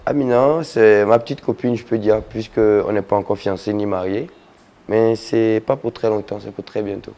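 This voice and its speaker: A young man from Togo speaks as an interview guest, pausing often with fillers as he answers. His voice sits low with a soft, mellow tone. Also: steady tone. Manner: hesitant, halting with fillers. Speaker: guest rapper.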